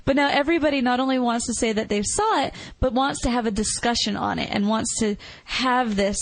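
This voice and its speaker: A woman's voice: a little high, brisk, no room sound. A woman co-hosting a podcast in a casual, chatty way, often saying "like" as she goes. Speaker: podcast co-host. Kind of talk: casual chat.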